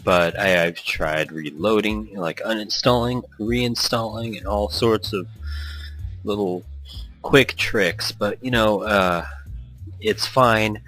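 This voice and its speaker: A man's voice: on the low side, slow, fairly bright tone. A man hosting a gaming let's-play in a casual, rambling, self-deprecating chat, reading game text aloud. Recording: music behind the voice. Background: background music.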